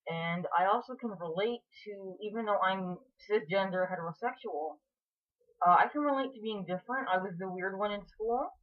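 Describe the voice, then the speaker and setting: A woman's voice: mid-range voice, normal speed. A woman in her twenties from Canada, talking frankly and earnestly to camera in a casual vlog. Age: 20s. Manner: frank and earnest, with frequent restarts and fillers. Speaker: vlogger. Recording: tinny phone-like sound.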